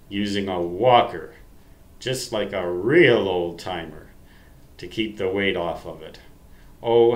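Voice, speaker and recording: An American man in his sixties rambling through memories to the camera in a folksy, halting, self-deprecating way, recorded on a webcam mic in a room at home. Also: medium pitch, echoey room. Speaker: amateur radio vlogger.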